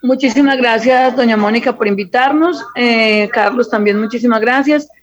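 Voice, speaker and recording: A woman's voice: a little high, normal speed. A woman speaking as an interview guest in a courteous, fairly formal way. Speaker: interview guest, costume designer. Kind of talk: polite thanks in a conversation. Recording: dull, lo-fi sound.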